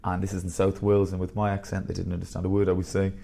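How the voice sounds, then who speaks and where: A man around fifty, a headmaster telling blunt, animated stories in a candid interview. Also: low-pitched, very fast, vocal fry, slight background noise. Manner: blunt, animated storyteller with bursts of laughter.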